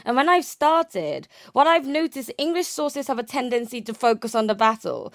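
A woman's voice: high-pitched, expressive, moderate pace, no room sound, clean studio sound. A young woman from Japan talking conversationally as an academic guest, explaining things with hedged enthusiasm. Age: young adult. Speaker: academic guest, naval history researcher.

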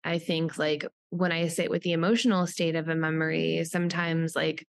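A woman with a slightly low voice and a first language of Polish talks reflectively as a podcast guest. She gropes for words and fills her pauses with "like". The sound is dry and close. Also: level tone, gravelly, dry recording. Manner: reflective, searching for words with many "like"s. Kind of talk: podcast interview.

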